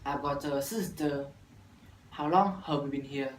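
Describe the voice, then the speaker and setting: A man's voice: medium pitch, unhurried. A young Vietnamese man in his late teens speaks in careful, rehearsed English with a Vietnamese accent, restarting phrases now and then. He sounds distant from the mic in an echoey room. A faint hiss sits under the voice. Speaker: university student. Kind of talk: scripted classroom dialogue practice.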